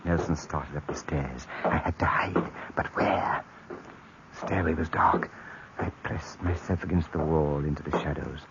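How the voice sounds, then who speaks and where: Deep-voiced man in tense radio narration. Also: steady tone, measured pace. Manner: tense first-person narration, breathy in places. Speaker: narrator and lead. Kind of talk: 1940s radio acting. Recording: dull old radio recording with hiss.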